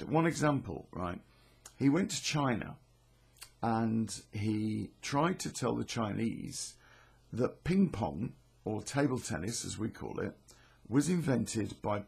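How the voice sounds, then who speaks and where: A British man with a hoarse, croaky voice, hosting a podcast in a rambling, self-deprecating, sweary way. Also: medium pitch, a little mellow. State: hoarse from illness. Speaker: podcast host and storyteller. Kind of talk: casual chatty intro to a podcast.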